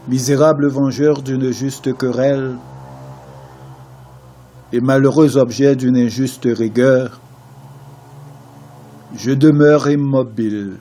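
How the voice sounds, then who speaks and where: A man playing a young nobleman torn between love and honour in a classical French verse tragedy, declaimed in a solemn, measured way. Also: medium pitch, dark tone. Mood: anguished, stricken.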